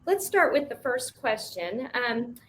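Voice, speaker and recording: An upbeat woman with a fairly high voice leads a college panel discussion, pausing on soft ums as she introduces the students. Faint hiss sits under her voice. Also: big pitch swings. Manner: upbeat, fills pauses with um. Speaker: moderator, admissions dean. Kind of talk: panel moderation.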